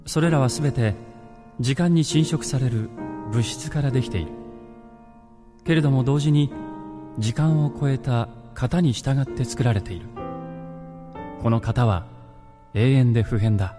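A grave ancient philosopher, played by a man, declaims in a mid-pitched voice. He is a solemn, impassioned idealist in a radio drama, with music clearly behind him. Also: unhurried, long pauses, close to an everyday voice. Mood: solemn, earnest.

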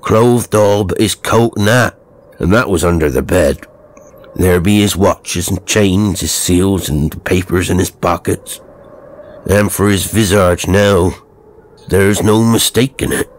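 A man voicing a rough, shabby eel-catcher from the marsh with blunt, rustic phrasing in a horror story. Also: low-pitched, a little slow. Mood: solemn.

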